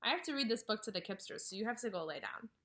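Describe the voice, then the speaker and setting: Female kindergarten teacher, somewhat high and bright; animated read-aloud that asks questions and answers them. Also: fast talker. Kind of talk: read-aloud lesson for young children. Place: at home.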